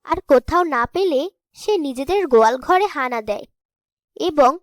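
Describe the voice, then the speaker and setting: High-voiced woman telling a fairy tale. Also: animated, unhurried, no echo, studio quality. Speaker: storyteller. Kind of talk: scripted fairy-tale narration.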